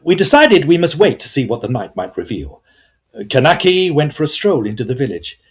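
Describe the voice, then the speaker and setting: A man playing an earnest gentleman ghost hunter who narrates a period Gothic audio drama in formal, old-fashioned phrases. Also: fairly high pitch, very animated, moderate pace. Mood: suspenseful.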